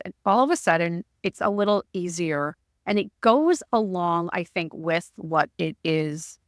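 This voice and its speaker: A woman co-hosting a podcast, with a loud, booming voice and an energetic, jokey way of talking in casual chat. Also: no echo, clean studio sound. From Arizona, USA. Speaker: podcast co-host.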